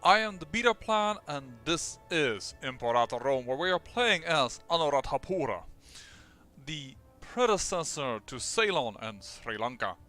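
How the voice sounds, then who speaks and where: Excitable Dutch man commentating a game. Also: on the high side, faint music behind. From Netherlands. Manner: excitable, talks quickly with restarts. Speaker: let's play host.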